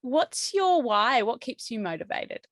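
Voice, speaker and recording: A woman's voice: on the high side, sing-song, unhurried, a bit bright, no echo, clean studio sound. A woman hosting an interview, affirming her guest with enthusiasm and frequent laughter. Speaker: interview host. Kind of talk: conversational interview.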